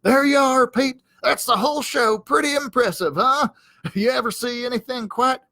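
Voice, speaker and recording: A man's voice: very high-pitched, normal speed, bright, forceful tone, no echo, clean studio sound, voice pushed up, heavily acted. A man voicing a vain, gullible storybook cowdog in a blustering, stammering, self-important manner. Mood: showing off. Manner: blustering, hesitant, stammering, pompous. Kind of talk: children's storybook character voice.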